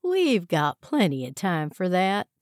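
A man's voice: high-pitched, huge pitch swings, measured pace, dry recording, studio quality, full character voice. A woman voicing a short male interrogating officer with a matter-of-fact, mocking tone. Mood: smug.

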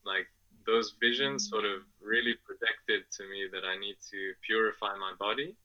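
A man's voice: lowish voice, fairly even intonation, normal speed, sharp tone, muffled recording. A man in his twenties from Afghanistan who grew up in England, answering as a podcast guest with many fillers and restarts. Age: 20s. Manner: hesitant, many fillers and restarts, laughs often. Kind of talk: casual interview answers.

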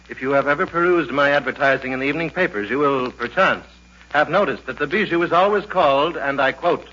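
Pompous, over-refined radio comedy gentleman. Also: fairly high pitch, slightly rough, heavily acted. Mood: pompous, courteous. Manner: pompous, florid, formal diction. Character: pompous, over-refined male movie theater manager. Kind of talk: 1940s American radio comedy acting. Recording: dull, noisy 1940s radio recording.

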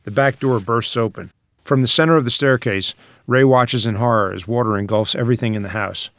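A man's voice: mid-range voice, brisk, a bit bright, no room sound, studio quality. A man reading out screenplay scene headings and stage directions as a scripted narrator.

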